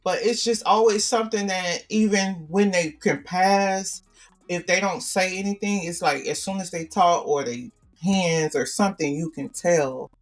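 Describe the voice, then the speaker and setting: A woman with a naturally deep voice talks through a casual podcast chat as co-host, repeating herself to drive a point home. She sits a little far from the mic, and soft music plays underneath. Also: a little slow.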